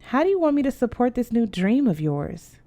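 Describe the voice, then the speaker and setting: A woman voicing a curious, eager young girl with a teasing, expressive manner in an audiobook. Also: a little high, a little fast, pitched above the performer's own voice. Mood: teasing, supportive. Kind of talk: acted audiobook dialogue.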